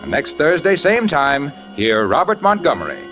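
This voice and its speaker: A man announcing a 1940s radio show and reading ads in a brisk, salesman-like way. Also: fairly high pitch, huge pitch swings, loud music behind the voice. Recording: dull old radio recording.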